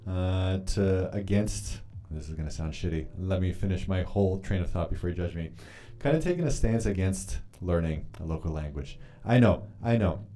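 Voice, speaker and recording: Low, mellow-voiced man chatting loosely. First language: Polish. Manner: rambling, swears freely, loose and candid. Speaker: livestreamer reading chat. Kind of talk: casual live-stream chat while journaling.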